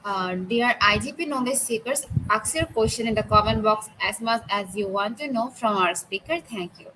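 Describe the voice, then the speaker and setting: A woman's voice: fairly high pitch, moderate pace, bright, forceful tone, a little hiss or hum, poor audio. A woman hosting a webinar, making formal, polite announcements. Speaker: webinar host.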